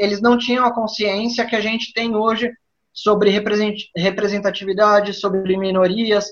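Homem de trinta e poucos anos, voz aguda. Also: male voice, high voice, a bit bright, lo-fi sound. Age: 30s. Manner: thoughtful, hesitant with many fillers. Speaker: podcast guest. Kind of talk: reflective casual conversation.

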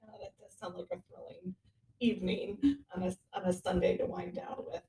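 A woman's voice: lowish voice, flat delivery, fairly quick, mellow, distant mic, low-quality recording. A woman hosting a podcast, asking questions in a casual interview style.

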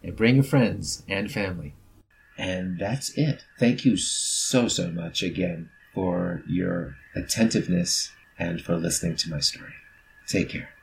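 A man's voice: low voice, a little slow, creaky, noisy background. A man speaking as a guest author, explaining his work in a casual promotional talk. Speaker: featured storyteller, author.

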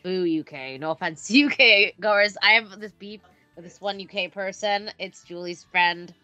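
A woman around thirty co-hosting a casual podcast, chatty and playfully indignant with quick reactions. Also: medium pitch, sharp tone, no echo, soft background music. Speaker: podcast co-host.

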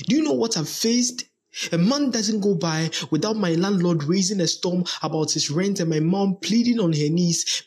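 A man with a Ghanaian accent and a husky voice playing a defensive, resentful teenage son from a poor home in an audio drama. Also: sits high, darkish tone. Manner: sullen, defensive.

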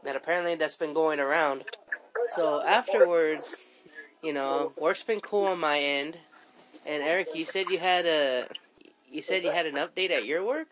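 A man's voice: fairly high pitch, normal speed, dull audio, noisy background. A man hosting a podcast, sounding nasal from a head cold, easygoing and prompting his co-host with short questions. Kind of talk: casual podcast chat.

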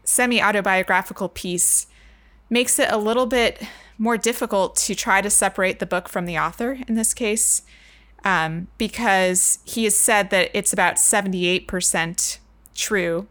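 A woman's voice: mid-range voice, unhurried, fairly bright tone. A woman co-host explaining points conversationally in a book discussion. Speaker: podcast co-host.

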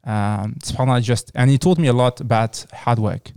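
A man from France with a French accent, answering casually as an interview guest in a halting, repetitive way. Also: medium pitch, a little mellow, clean tone, no echo, clean studio sound. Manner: halting, repeats words and phrases.

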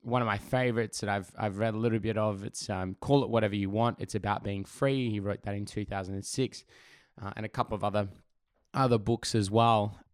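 A man's voice: fairly low pitch, steady tone, fairly quick, no room sound, clean studio sound. A man hosting his podcast, chatty and enthusiastic with lots of fillers, his voice still recovering from laryngitis. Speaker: podcast host. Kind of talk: casual podcast intro and interview.

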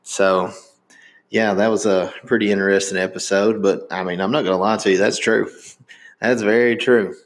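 A man's voice: on the low side, fairly even intonation, normal speed, poor audio. A man in his thirties from Indiana with a Southern accent, hosting a podcast in casual, rambling chat. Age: 30s. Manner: rambling, many fillers and restarts. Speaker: podcast host.

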